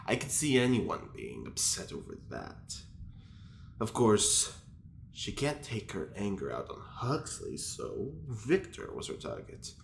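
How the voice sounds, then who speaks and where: Haughty gossiping male prisoner: unhurried, low and creaky, theatrical, in an echoing room. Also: exaggerated voice. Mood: analytical. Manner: affected, leisurely, theatrical, fussy. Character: prim, self-important male prisoner, scheming gossip feigning innocence. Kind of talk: audio drama diary monologue. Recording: roomy echo with faint background noise.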